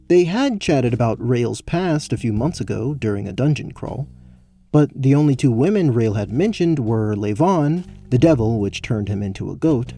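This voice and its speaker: A man's voice: mid-range voice, dark tone. A man giving scripted narration for a fantasy tabletop-style audio story. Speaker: narrator, game master.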